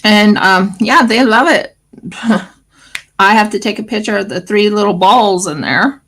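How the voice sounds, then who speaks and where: Australian woman, craft-stream host, gravelly scratchy voice, loose rambling chat. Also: mid-range voice, unhurried, a bit bright. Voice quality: scratchy morning voice. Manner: rambling, fillers, restarts. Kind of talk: casual livestream chat.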